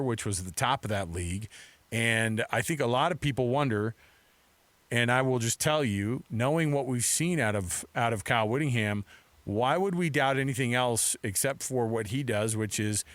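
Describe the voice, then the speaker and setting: Man, American accent, medium pitch, dry sound, casual sports talk, conversational co-host. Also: a bit bright, dry recording.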